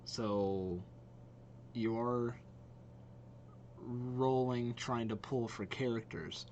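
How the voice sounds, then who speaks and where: Reaction host: young man, medium pitch, slow casual delivery, muses aloud in informal language. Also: fairly even intonation, a little mellow, dull audio, a little hiss or hum. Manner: informal, musing aloud, repeats exclamations.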